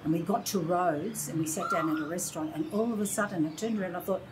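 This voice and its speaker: A woman's voice: on the low side, quick pace, background noise. A woman in her sixties with an Australian accent, reminiscing in a casual interview and acting out the voices of people she quotes. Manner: animated storyteller, acts out quoted voices. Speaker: interview guest. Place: indoor room by large windows.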